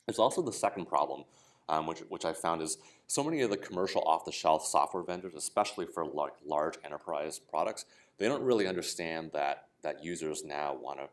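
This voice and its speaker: A man's voice: very deep voice, animated, brisk. A man giving a casual, explanatory technical talk at a conference, often checking in with "right?". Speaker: conference speaker. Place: conference room.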